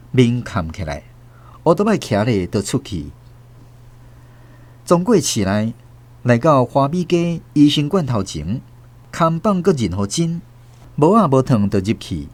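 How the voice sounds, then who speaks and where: A man's voice: mid-range voice, darkish tone. A man with a Taiwanese accent narrating a scripted story dramatically as a radio host.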